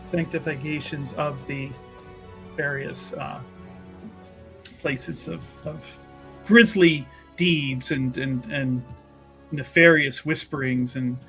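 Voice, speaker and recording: A man's voice: a little high, fairly even intonation, takes it slowly, long pauses, a bit bright, soft background music. A man improvising narration of his character's actions in a tabletop roleplaying game, hesitant and wordy with flowery phrasing.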